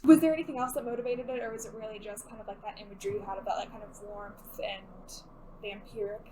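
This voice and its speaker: A woman's voice: medium pitch, steady tone, quick pace, warm tone, poor audio. A woman hosting a casual podcast interview, asking questions in an informal, inquisitive manner. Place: recording studio.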